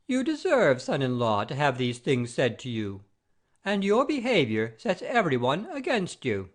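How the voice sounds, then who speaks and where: A man's voice: mid-range voice, huge pitch swings, unhurried. A man playing an imperious, pompous father-in-law obsessed with honour and rank in a classic comedy audio play. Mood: pompous, scolding. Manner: commanding, pompous, formal.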